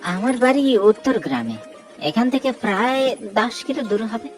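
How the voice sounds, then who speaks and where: A woman voicing a poor, humble male rickshaw driver with a hesitant, halting delivery in a horror cartoon. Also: fairly high pitch, lively intonation, darkish tone, soft background music, lightly acted. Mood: humble, resigned. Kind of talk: cartoon horror story dialogue.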